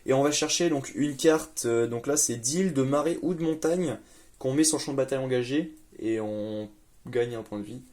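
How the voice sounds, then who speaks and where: Relaxed young man explaining a card deck. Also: mid-range voice, normal speed. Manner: laid-back, hesitant with many fillers. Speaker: deck presenter. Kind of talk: casual card game deck tech chat.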